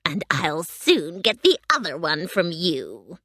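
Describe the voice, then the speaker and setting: A woman voicing a wicked old witch who drags out her threats slowly and shrieks high when she melts. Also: big pitch swings, a bit bright, no room sound, studio quality, full character voice. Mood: menacing.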